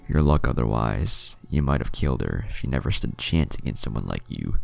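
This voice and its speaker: A man's voice: very deep voice, animated, brisk, gravelly, voice pushed down, exaggerated voice. A man voicing a blunt, wry fox spirit in a story, speaking matter-of-factly. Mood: chiding.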